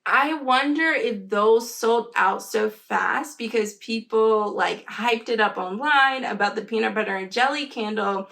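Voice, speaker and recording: A woman's voice: a little high, unhurried, gravelly, hardly any pauses, a bit bright. A woman vlogger giving a casual, chatty product review in a rambling, slangy, conversational way.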